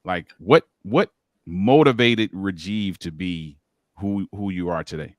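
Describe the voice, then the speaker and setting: A male podcast host who asks energetic questions, restarting his words often and summing up his guest's points. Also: low voice, slow pace, no room sound, clean studio sound. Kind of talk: interview hosting.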